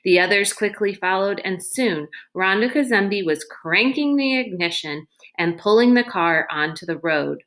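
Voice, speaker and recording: A woman's voice: medium pitch, animated, measured pace, hardly any pauses, fairly bright tone. A woman reading a children's novel aloud as scripted storybook narration.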